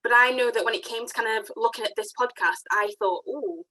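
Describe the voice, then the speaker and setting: Bright, eager woman hosting a podcast. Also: on the high side, steady tone, fast, rough recording. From African family, raised in the UK. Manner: enthusiastic, casual, lots of fillers. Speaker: podcast host.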